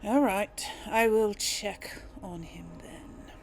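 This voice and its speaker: A woman's voice: mid-range voice, slow, a little mellow, soft background music. A woman giving casual game commentary as a let's play host, chatting and thinking aloud. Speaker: let's play host, role-playing a character.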